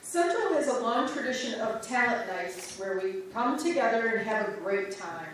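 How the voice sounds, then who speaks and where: A woman's voice: fairly high pitch, lively intonation, normal speed, distant mic, background noise. Woman reading in a big echoey church. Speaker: church reader. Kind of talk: reader's theater narration. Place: large church sanctuary.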